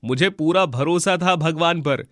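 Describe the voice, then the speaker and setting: A man voices a humble, good-hearted village husband from a cartoon folk tale. His voice sits a little high and sounds close and dry, and he speaks with earnest, pleading emphasis and simple devotion. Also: a little slow, fairly bright tone, no echo. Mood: amazed, grateful. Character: kind, honest, devout poor villager husband, earnest and humble. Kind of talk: animated moral folk tale dialogue.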